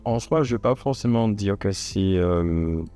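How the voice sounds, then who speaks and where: Lowish-voiced man chatting informally. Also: unhurried, warm tone, smooth. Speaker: podcast guest, comedian. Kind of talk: casual conversation. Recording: music behind. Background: music.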